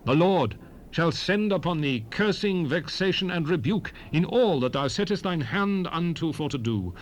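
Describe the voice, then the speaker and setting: A man playing a solemn prophet, reciting scripture in a measured, declamatory way for a dramatized Bible recording. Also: on the high side, lively intonation, lightly acted. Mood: stern warning. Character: solemn male prophet proclaiming blessings and curses. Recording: hissy 1970s cassette recording.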